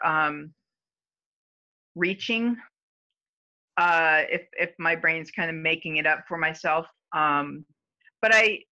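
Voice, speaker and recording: Slow, hesitant woman, lo-fi. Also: mid-range voice, sharp tone. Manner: slow, hesitant, many fillers. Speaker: interviewee. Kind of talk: casual home interview.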